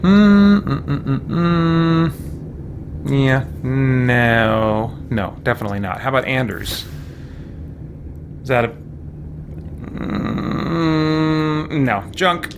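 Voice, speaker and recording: A man's voice: medium pitch, lively intonation, very slow, pauses often, noisy background. A man doing casual live game commentary, chatting with viewers and muttering mild exclamations to himself as he plays. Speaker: game streamer. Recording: loud game music behind the voice. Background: video game music and sounds.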